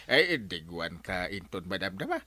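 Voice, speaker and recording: A man's voice: deep voice, sing-song, normal speed, pushed and bright, dry recording, heavily acted. A man with an Ilocano accent playing a flustered, bribe-taking village captain in a radio comedy drama.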